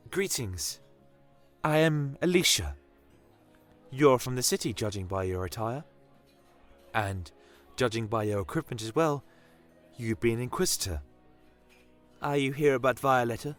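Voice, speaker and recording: A man voicing a gentle, earnest village woman with a calm, measured way of talking, in an audio fantasy tale. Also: mid-range voice, faint music behind, voice pushed up, lightly acted. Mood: gentle, curious. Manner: calm, soft-spoken, measured.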